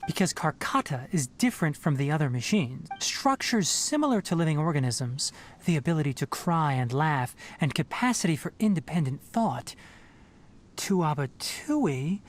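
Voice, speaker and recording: An actress playing a calm, analytical scholar in a video game, measured and thoughtful as she explains things. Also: sits low. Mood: grave, thoughtful. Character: calm, analytical woman scholar investigating a mystery.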